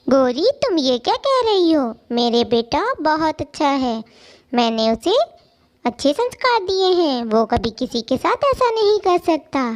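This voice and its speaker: A woman's voice: sits high, very animated, measured pace, no room sound, clean studio sound. A woman voicing a protective, caring mother bird in a children's cartoon story, earnest and motherly. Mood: protective, firm. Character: gentle, caring mother bird, protective of her young son.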